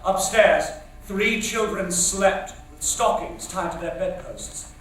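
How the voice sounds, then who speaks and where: An actor playing a brisk, commanding young theatre man, declaiming dramatically on stage. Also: high voice, animated, a bit bright, exaggerated voice. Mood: haunted, dramatic. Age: young adult. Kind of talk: theatrical stage acting, projected storytelling. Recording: echoey theatre, far mic, faint noise.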